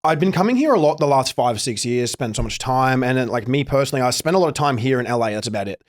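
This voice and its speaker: A man's voice: mid-range voice, lively intonation, fast. An Australian man hosting a podcast in casual chat, effusive and swearing freely. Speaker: podcast host.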